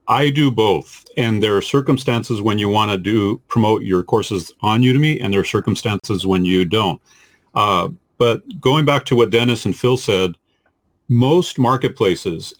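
A man who co-hosts a podcast and teaches online courses, reasoning in a measured, analytical way during a casual panel discussion. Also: lowish voice.

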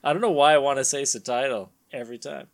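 A man's voice: mid-range voice, steady tone, quick pace, over music. A man hosting a casual comedy read-along, riffing between bits of dramatic comic narration. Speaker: podcast host and narrator.

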